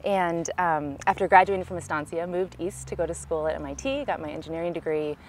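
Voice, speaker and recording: A woman with a Californian American accent giving measured, explanatory answers in a conversational interview as a local political candidate, recorded outdoors. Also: lowish voice, slight background noise. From California, United States. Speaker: city council candidate, interviewee. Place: outdoors in a park.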